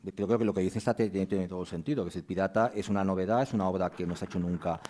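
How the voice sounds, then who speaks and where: A man's voice: low-pitched, fairly even intonation, very fast, warm tone, a little hiss or hum. A man presenting a theatre season at a press conference, explaining at length with frequent restarts.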